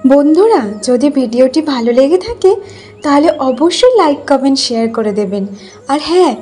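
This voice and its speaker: A woman's voice: high voice, animated, fairly quick, dark tone, soft background music. A woman storyteller reading scripted narration for a cartoon folk tale.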